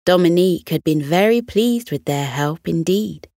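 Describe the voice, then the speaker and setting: Female bedtime story host: lowish pitch, animated, expressive scripted delivery, careful diction. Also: moderate pace, no room sound, clean studio sound.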